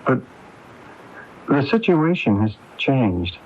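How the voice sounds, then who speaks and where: Anxious, slow-spoken cornered man. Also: medium pitch, very animated. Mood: worried. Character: anxious, guilt-ridden man cornered by gangsters, protective brother. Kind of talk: radio mystery drama acting. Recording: dull, noisy AM radio recording.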